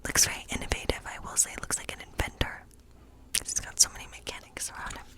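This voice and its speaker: A woman whispering gently and breathily in an ASMR role play as a kindly witch who reads tarot. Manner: gentle, slow, soothing reassurance. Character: kindly witch reading tarot in her woodland cottage. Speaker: ASMR performer playing a witch.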